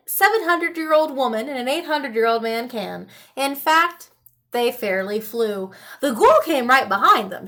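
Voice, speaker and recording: High, lively woman reading a storybook. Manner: dramatic, expressive, deliberate pauses. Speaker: storybook reader. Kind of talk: animated children's read-aloud.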